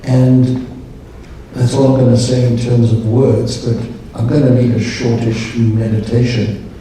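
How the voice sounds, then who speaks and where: A man speaking at a conference, leading a guided meditation in a calm, slow, measured way. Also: mid-range voice, slight background noise. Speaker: meditation leader. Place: large conference hall. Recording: echoey hall, distant mic.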